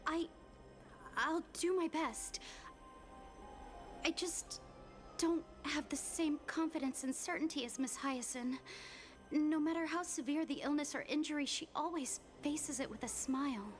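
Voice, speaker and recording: Game casting: meek young apprentice girl medic, high hesitant voice, very slow delivery, polite. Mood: shy, unsure. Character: shy, humble young woman apprentice medic, sincere and deferential. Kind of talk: scripted game voice acting. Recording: music behind the voice. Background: background music.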